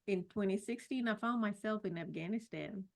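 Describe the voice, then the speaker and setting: A middle-aged woman from Singapore, a podcast guest telling her life story in a casual, reflective way with many pauses and restarts. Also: medium pitch, gravelly. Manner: warm, reflective, with frequent "you know" and restarts. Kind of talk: personal story in a casual interview.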